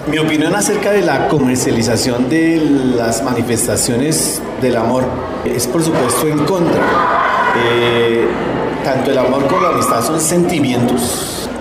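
A man giving formal, measured opinions in a vox pop interview. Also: mid-range voice. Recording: very noisy, echoey ambience.

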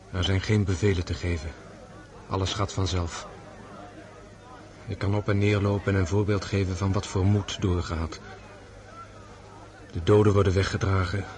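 An actor in a radio drama playing an introspective army officer facing death, delivering a reflective inner monologue. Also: male voice, deep voice, darkish tone, close to an everyday voice. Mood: resigned.

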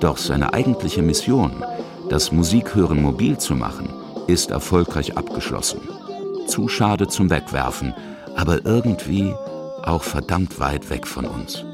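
A man's voice: a bass voice, sing-song, a little slow. A man narrating a scripted radio feature. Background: music.

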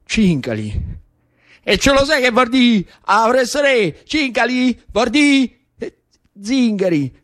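A man playing an eager, indignant schoolboy in a radio monologue, with a Roman accent, halting and repeating insistent questions. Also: very high voice, big pitch swings, a bit bright, pitched above the performer's own voice, full character voice. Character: eager, indignant schoolboy proud of migrant grandfather.

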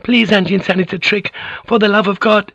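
Pleading frantic noblewoman, guy reading an audiobook doing her, high and strained, muffled dry sound. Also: fairly even intonation, brisk, no room sound, close to an everyday voice. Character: desperate noblewoman, young adult, pleading. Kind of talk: audiobook character voice.

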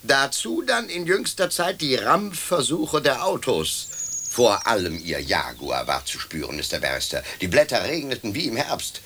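An actor playing a stern, theatrical lecturer among the dead, admonishing in manner, in a radio play. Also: male voice, mid-range voice, sing-song, normal speed, pushed and bright, slight background noise. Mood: sardonic. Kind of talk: German radio play acting.

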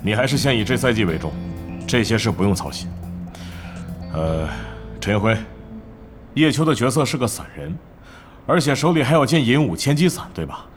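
A man's voice: medium pitch, animated, moderate pace, long pauses, faint music behind, lightly acted. A man playing a stern, scheming middle-aged club manager in a Mandarin audio drama, commanding and interrogating. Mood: probing.

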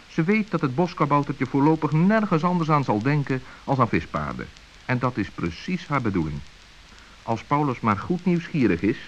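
Male narrator for a children's story. Expressive, varied intonation, faintly hissy vintage sound. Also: medium pitch, lo-fi sound. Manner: expressive, suspenseful.

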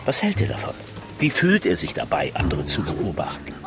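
Probing male detective with lively, swooping pitch. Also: moderate pace, music in the background, heavily acted. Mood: thoughtful. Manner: reasoning, questioning, insistent. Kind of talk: German radio crime drama acting.

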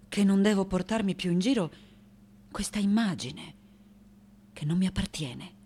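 Slow, fiery old woman artist. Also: fairly high pitch, a bit bright, heavily acted. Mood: stunned, musing. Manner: dramatic, halting, self-questioning, emphatic repetitions. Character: fiery, eccentric 98-year-old French-born woman sculptor. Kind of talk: theatrical radio monologue.

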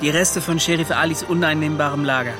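High-pitched young man, eager adventurer, earnest, confiding, radio drama, acted by a man, music underneath. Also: steady tone, brisk, lightly acted. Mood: urging.

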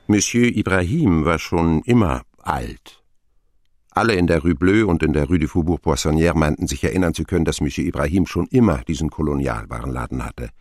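A man's voice: very deep voice, a little fast, no room sound, studio quality. An actor playing a middle-aged man who wryly recalls his boyhood as narrator of a radio play. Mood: reminiscing. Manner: wry, expressive storytelling. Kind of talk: scripted first-person narration, radio play.

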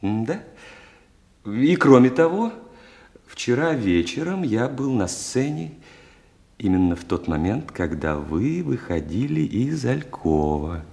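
An actor playing an insinuating, mock-polite playwright in a radio play, with hesitant hums and repeated phrases. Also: male voice, mid-range voice, sing-song, slow pace, gravelly, a little mellow, full character voice. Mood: oily politeness. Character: insinuating, oily male playwright peddling his play. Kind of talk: Russian radio play acting. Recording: echoey hall, long reverb.

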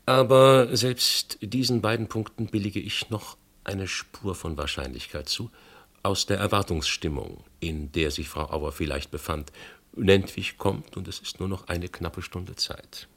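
An actor playing a shrewd, methodical police inspector in a German radio crime drama, reasoning insistently with a gravelly, husky voice. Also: male voice, sits low, fairly bright tone, exaggerated voice. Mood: probing, methodical. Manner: deliberate, insistent, reasoning point by point.

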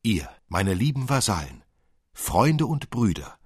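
A man voicing a heroic nutcracker commander in a fairy tale, rallying troops in a loud, declamatory way. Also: on the low side, big pitch swings, unhurried, breathy edge, no room sound, studio quality, voice pushed up, full character voice. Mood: rousing, proud.

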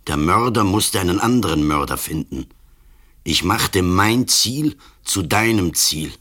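An old police commissioner in a radio drama, with an extremely deep voice. He speaks slowly and gravely, like a relentless judge passing sentence. He is ailing yet implacable. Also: animated, pushed and bright, heavily acted. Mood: accusing, relentless. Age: older. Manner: slow, grave, accusing, relentless. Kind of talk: radio play acting.

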